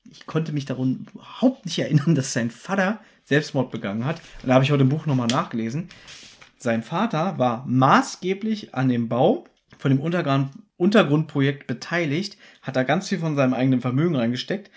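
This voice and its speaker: A man's voice: mid-range voice, fairly quick. A man co-hosting a podcast, retelling a story in great detail in casual chat. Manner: detailed, animated storyteller who acts out quotes. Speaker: podcast co-host.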